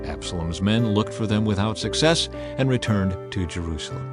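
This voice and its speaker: A man reading scripture as a dramatized audiobook narration, measured and emphatic in his storytelling. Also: fairly low pitch, animated. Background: music under the voice.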